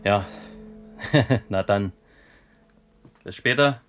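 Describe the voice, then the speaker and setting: A genial male sergeant talks very slowly, with halting, laconic phrases. It is radio-drama acting with music clearly behind the voice. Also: on the low side. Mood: affable, sheepish. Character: genial, unhurried male police sergeant on rounds. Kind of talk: German radio play acting.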